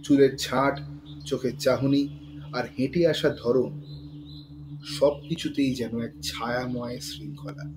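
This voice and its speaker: A man narrating a scripted thriller story in a measured, dramatic, suspenseful manner. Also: medium pitch, steady tone, background noise.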